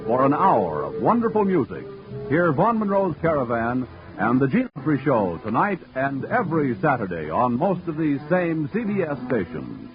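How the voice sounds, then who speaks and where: A man's voice: wildly swooping pitch, moderate pace. A man announcing credits and program listings in formal 1940s network radio style. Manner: formal booming announcer delivery. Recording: dull old radio recording with music.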